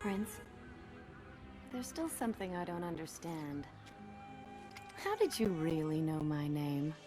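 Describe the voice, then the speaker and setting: Slow, echoing, gentle guide. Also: female voice, low voice, sing-song, exaggerated voice. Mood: soft, puzzled. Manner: measured, earnest. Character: gentle, solemn young woman guiding a prince. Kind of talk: dramatic video game acting. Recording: long echoing reverb, music under the voice.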